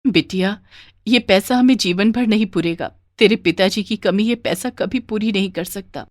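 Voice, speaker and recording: A woman voicing a poor widowed mother who sells street food, earnest and plaintive, in an animated moral story. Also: medium pitch, normal speed, dry recording, studio quality, close to an everyday voice. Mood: sorrowful.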